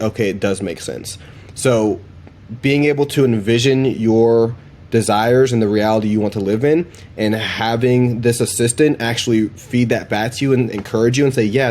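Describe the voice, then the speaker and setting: Low-voiced man giving a casual demo. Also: a little mellow, slight background noise. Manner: enthusiastic, informal, slangy. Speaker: presenter demonstrating voice AI assistants he builds. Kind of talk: casual product demo and explainer.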